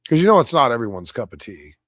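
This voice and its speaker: A man giving casual livestream commentary on a debate, blunt, combative and profane, his voice slightly hoarse. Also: mid-range voice, big pitch swings, fast, fairly bright tone, no echo, studio quality. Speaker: debate reviewer streaming live.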